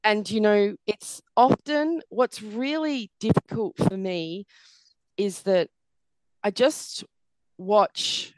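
Middle-aged woman guest, croaky and tired, earnest and reflective, lowish voice. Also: a little mellow, dry recording. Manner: earnest, many "you know" fillers. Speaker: podcast guest. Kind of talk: reflective interview conversation.

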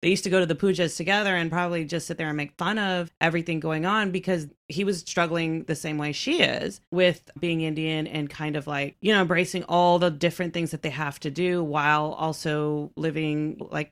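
A woman with a very low voice and a gravelly fry chats on a podcast. She sounds relaxed and agreeable, sprinkling her sentences with casual fillers as she talks through an episode. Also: normal speed, few pauses. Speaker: podcast co-host. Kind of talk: casual chat discussing a TV show.

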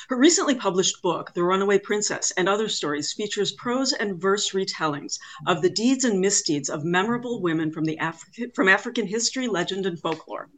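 A woman's voice: medium pitch, a bit bright. A woman interviewer introducing her guest and asking questions politely over an online call. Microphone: video call.

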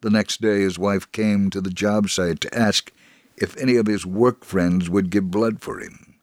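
A man giving a scripted dramatic reading of a first-person story in a measured, earnest way. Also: on the low side, fairly even intonation, dry recording. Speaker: dramatic reader of a testimony.

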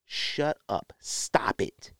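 A man's voice: drawn-out words, a bit bright, no echo, clean studio sound. A man hosting a solo podcast, talking in a blunt, exasperated way with emphatic rhetorical questions, like an opinionated rant. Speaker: podcast host.

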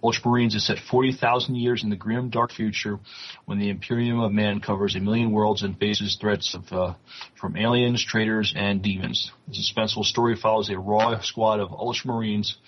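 Low-voiced man, slightly muffled podcast audio. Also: fairly even intonation. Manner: halting when reading aloud. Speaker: podcast co-host. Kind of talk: reading news items and chatting.

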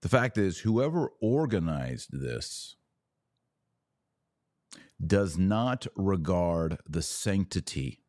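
A man hosting a religious commentary podcast, speaking emphatically and deliberately, pausing to stress key words. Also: deep voice, very animated, darkish tone, dry recording. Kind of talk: opinionated commentary monologue.

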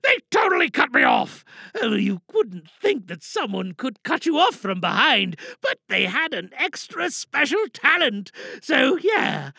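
Comic audio drama: performer as reckless, self-righteous driver, indignant and wheedling, loud high swings, sharp tone. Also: vocal fry, no room sound, full character voice. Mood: agitated, defensive. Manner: indignant, mock-pious, wheedling excuses. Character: frantic, self-righteous reckless driver lying to a police officer.

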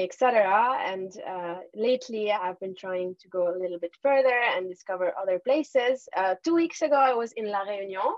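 A woman's voice: medium pitch, no room sound, muffled recording. A young woman from Tunisia, a lawyer guest, chatting casually in an interview and laughing often.

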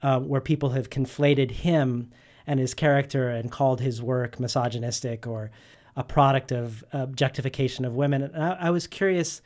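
A man's voice: mid-range voice, fairly even intonation, normal speed, a little mellow. A man interviewing an author, asking long prepared questions in an earnest way with frequent restarts.

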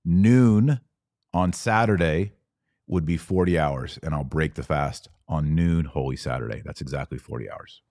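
A man's voice: a bass voice, measured pace, a little mellow, dry recording, studio quality. A man hosting a podcast in casual livestream style, talking informally and emphatically to viewers and repeating phrases for emphasis. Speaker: podcast host. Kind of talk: casual livestream chat answering viewer comments.

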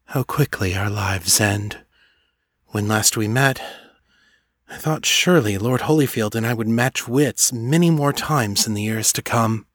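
A man voicing a brooding male dark lord who rants in anguish and breaks into sobs, in a storybook reading. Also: on the low side, measured pace, dry recording, clean studio sound. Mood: reflective.

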